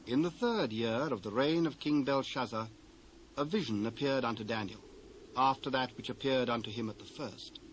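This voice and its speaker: A man's voice: medium pitch, moderate pace, poor audio. A British man gives a solemn scripture narration. His voice sits in the middle register and tells the story formally, with faint noise in the recording.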